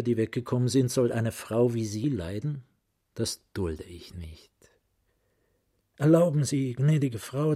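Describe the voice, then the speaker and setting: An actor playing a scheming middle-aged gentleman who flatters and commands with calculated courtesy in a radio play. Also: medium pitch, breathy edge, dry recording, clean studio sound. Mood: seductive, confiding. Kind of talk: German literary radio play acting.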